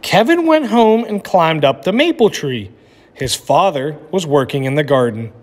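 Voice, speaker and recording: A man's voice: on the high side, expressive, moderate pace. A man with an instructive manner, speaking as a teacher reading a children's storybook aloud. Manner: instructive, checks in with listeners. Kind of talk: lesson and storybook narration. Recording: echoey room with long reverb.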